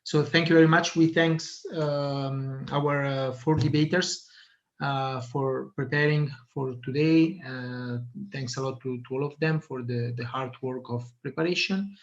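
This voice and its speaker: A man moderating an online debate over a video call, pausing often with fillers. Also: mid-range voice, steady tone. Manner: hesitant, many fillers. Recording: muffled video call audio.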